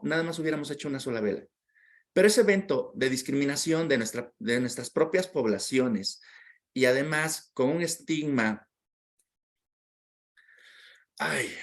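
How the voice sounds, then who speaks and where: A man as an interview guest, telling a personal story in a heartfelt, reflective way and often repeating words while he searches for them. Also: mid-range voice.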